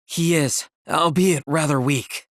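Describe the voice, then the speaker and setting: An actress playing a brisk, practical village woman in a video game, frank and watchful. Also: sits low, no room sound, studio quality. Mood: matter-of-fact.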